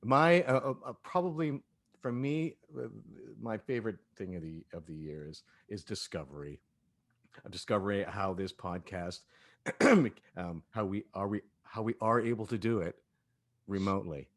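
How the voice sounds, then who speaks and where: A man's voice: lowish voice, normal speed, dry recording. A man hosting a casual cast talkback chat over a remote call. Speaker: podcast host and cast member.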